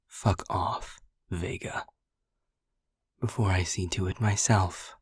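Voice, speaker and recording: A man playing a breathy, flirty incubus, low and slow. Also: fairly even intonation, dry recording, clean studio sound, heavily acted. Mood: protective, threatening. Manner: teasing, seductive, breathy. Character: flirty, protective male incubus, smooth and cocky. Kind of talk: scripted audio roleplay.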